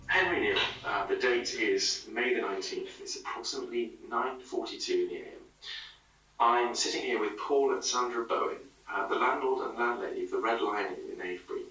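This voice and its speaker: In a very echoey room, a little off the mic, a man plays a courteous male researcher interviewing in an audio drama. He is probing but hesitant, with a bright voice. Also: on the low side, a little fast, far from the mic. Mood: formal.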